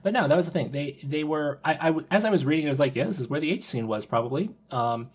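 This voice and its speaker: A man talking casually on a podcast, thinking aloud in a halting way and repeating his words. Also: on the high side, slight background noise. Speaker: podcast panelist.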